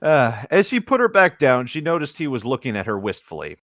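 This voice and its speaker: A man's voice: medium pitch, sing-song, a little fast, a bit bright, no room sound. A man reading a story aloud as co-host and breaking off for amused, casual asides. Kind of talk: story narration with casual commentary.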